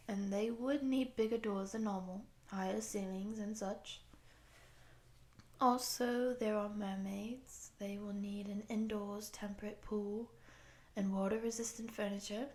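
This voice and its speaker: A woman playing a polite, earnest program interviewer in a scripted roleplay, speaking softly and breathily in a measured, formal, official manner. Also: medium pitch, natural voice. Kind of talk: soft-spoken scripted roleplay audio.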